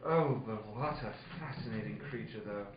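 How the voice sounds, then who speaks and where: A man playing a genial, gallant older gentleman sidekick in a blustering, old-fashioned radio-play manner. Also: on the low side, normal speed, far from the mic, pitched below the performer's own voice, natural voice. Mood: gallant, apologetic. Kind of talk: hammy old-time radio acting. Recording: muffled, noisy echoey room from afar.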